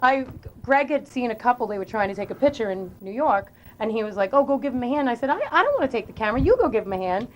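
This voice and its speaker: A woman's voice: fairly high pitch, lively intonation, quick pace, a little hiss or hum, rough recording. A woman co-host telling a funny story with lots of laughter.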